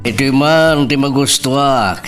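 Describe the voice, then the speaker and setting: A man's voice: medium pitch, takes it slowly, distant mic, over music, natural voice. A man acting a fatherly, authoritative middle-aged father-in-law in an Ilocano audio drama, with a Filipino Ilocano accent. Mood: pleased.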